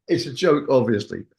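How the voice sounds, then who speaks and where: Man chatting casually, very animated pitch. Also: creaky. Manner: rambling, anecdotal, acts out reported dialogue. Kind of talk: casual conversational discussion.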